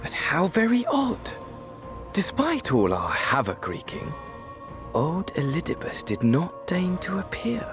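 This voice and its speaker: A man's voice: huge pitch swings, unhurried, heavily acted. An actor playing a theatrical, mad male game villain with grandiloquent, melodramatic and mocking delivery. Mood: sly, musing. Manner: grandiloquent, melodramatic, swooping from shrill glee to hushed menace. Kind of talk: theatrical video game voice acting. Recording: music behind the voice.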